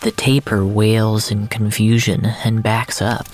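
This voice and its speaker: A narrator reading surreal literary fiction in a measured, first-person storytelling style with dramatic pauses. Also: mid-range voice, little pitch movement, a little mellow, a little hiss or hum. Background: music.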